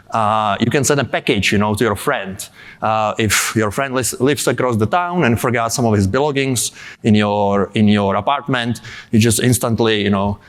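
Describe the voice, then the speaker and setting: Czech-born man in his 30s with a cold; casual, filler-heavy conference speaker. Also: fairly low pitch. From Czech Republic. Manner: casual, many fillers and asides. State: has a cold. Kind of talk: tech conference talk.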